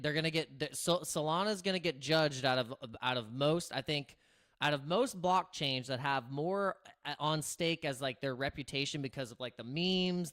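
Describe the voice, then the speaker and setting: A man with a naturally raspy voice hosting a casual livestream, talking loosely with lots of restarts and filler words into a streaming microphone. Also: on the high side, a bit bright. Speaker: crypto livestream host. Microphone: streaming microphone through streaming software.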